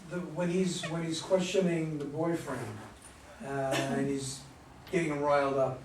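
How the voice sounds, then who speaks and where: A man giving casual critique in a halting manner. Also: a little high, level tone. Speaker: workshop participant. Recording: very echoey room, distant mic, faint noise.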